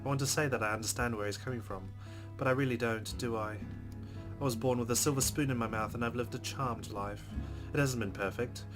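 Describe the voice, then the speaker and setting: A man's voice: mid-range voice, fairly even intonation, a little fast, over music. A man hosting a let's play, expressively reading a visual novel's narration aloud and adding his own reflective reactions.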